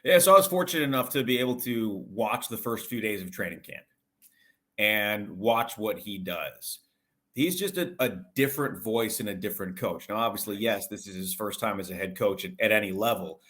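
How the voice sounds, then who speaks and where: Low-voiced man talking sports. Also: animated, normal speed, fairly bright tone. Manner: talkative, explanatory, long detailed answers. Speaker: sports broadcaster, interview guest. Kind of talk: conversational sports analysis.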